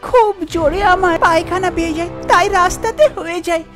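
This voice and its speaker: A woman's voice: very high-pitched, brisk, over music, pitched above the performer's own voice. A woman voicing an eager, sheepish young village daughter-in-law in a cartoon, exclaiming and pleading. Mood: sheepish confession. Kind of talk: Bengali cartoon voice acting.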